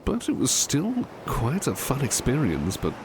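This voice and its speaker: A man playing a devoted, polite butler in an ASMR roleplay, gushing with curious delight in genteel, halting phrases. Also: medium pitch, huge pitch swings, background noise. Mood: attentive, pleased. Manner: genteel, effusive, halting with repeated words. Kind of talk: ASMR roleplay, personal attention to the listener.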